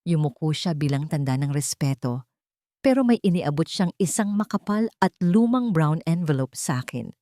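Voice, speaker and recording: Low-pitched woman narrating a dramatic story. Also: lively intonation, normal speed, mellow, dry recording, clean studio sound. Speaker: narrator of romantic drama stories. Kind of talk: dramatic first-person scripted narration.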